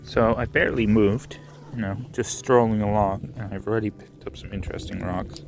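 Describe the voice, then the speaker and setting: A man casually narrating his rock hunt on a rainy beach outdoors, relaxed and musing, thinking aloud with small restarts. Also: lowish voice, brisk, vocal fry, slight background noise. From Canada. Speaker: rockhounding vlogger.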